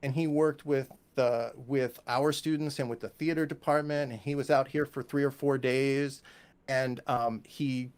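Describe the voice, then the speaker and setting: Male composer guest, medium pitch, casual storyteller who quotes others as he goes. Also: a little slow, clean tone. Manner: animated anecdotes, quotes others and sings musical examples. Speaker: guest, composer and music teacher.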